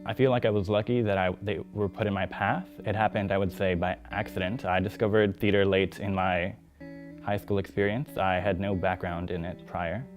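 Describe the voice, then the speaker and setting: A man's voice: deep voice, level tone, normal speed. A young man, a former theatre intern, reflecting sincerely in a documentary interview. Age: young adult. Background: soft guitar music.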